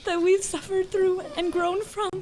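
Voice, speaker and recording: A woman's voice: very high voice, level tone, unhurried, darkish tone. A tearful woman making an emotional statement in a news interview. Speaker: victim's relative interviewed for news.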